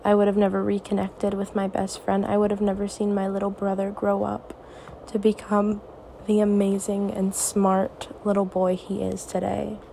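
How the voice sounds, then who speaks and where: A teenage girl hosting her own podcast, talking softly and reassuringly in a raspy, tired voice late at night. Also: medium pitch, monotone, moderate pace, slight background noise, rough recording. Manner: soft, reassuring, hesitant. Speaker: podcast host. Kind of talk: personal podcast monologue.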